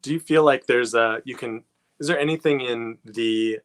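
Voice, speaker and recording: A man's voice: medium pitch, moderate pace. A man hosting a casual interview, asking informal, rambling questions as he chats. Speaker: interviewer, host.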